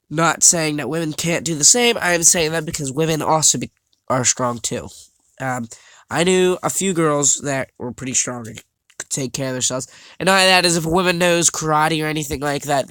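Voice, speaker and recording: A young man with a somewhat high voice talks casually over slides in a dry, close recording. He sounds stuffed up and a little scratchy, and he stumbles through his points with fillers and restarts. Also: a bit bright, no room sound. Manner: halting, many fillers and restarts. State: congested, slightly scratchy voice. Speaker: vlogger.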